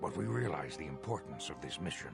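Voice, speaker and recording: An actor playing a grave, stately elder Jedi master in a video game, with a gravelly voice and formal, solemn oratory. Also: male voice, a bass voice, very animated, a little fast, heavily acted. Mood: grave, deliberate. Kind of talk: video game cutscene dialogue. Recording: music behind the voice.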